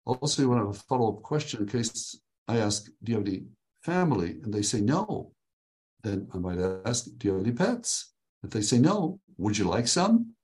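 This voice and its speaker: A man's voice: medium pitch, big pitch swings, darkish tone. Upbeat joking man coaching a video call. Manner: upbeat, joking, slows down to dictate phrases. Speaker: workshop leader. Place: his home.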